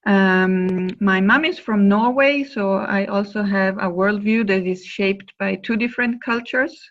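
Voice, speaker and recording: A woman's voice: mid-range voice, a little slow. Woman from Italy, easy self-introduction, lo-fi call audio. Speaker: course participant. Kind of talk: casual self-introduction on a group video call. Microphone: video call.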